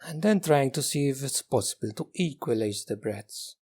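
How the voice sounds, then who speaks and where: A man leading a guided meditation as a teacher, speaking gently and unhurriedly with long pauses between phrases. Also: medium pitch, lively intonation.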